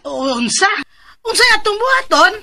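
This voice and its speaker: An actress playing a fretful, homely aunt in a Philippine radio drama, with a Cebuano Filipino accent. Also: female voice, extremely high pitch, sing-song, moderate pace, creaky, sharp tone, soft background music, exaggerated voice. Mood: flustered. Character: fretful aunt helping search, homely older relative.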